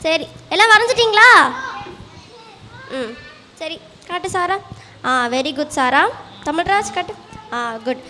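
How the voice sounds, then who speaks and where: A woman playing a schoolteacher in an amateur church skit, with a very high, sharp voice. She questions and chides her pupils like an exasperated but caring teacher. The sound rings in a big hall with some noise. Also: lively intonation, takes it slowly, long pauses, heavily acted. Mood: exasperated, chiding. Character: scolding, teasing schoolteacher, later gently consoling. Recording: echoey hall, distant, noisy room sound.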